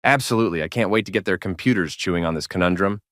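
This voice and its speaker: A man's voice: sits low, racing, a bit bright, no echo, clean studio sound. A man playing a courteous, formal scientific investigator who is keen on puzzles in a sci-fi audio drama. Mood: intrigued.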